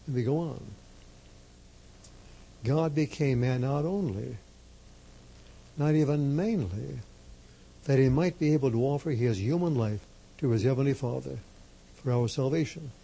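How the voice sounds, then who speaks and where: Slow, gravelly-voiced priest preaching. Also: male voice, medium pitch, dark tone, rough recording. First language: Slovak. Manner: emphatic, measured, repeats key phrases. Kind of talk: slow deliberate sermon.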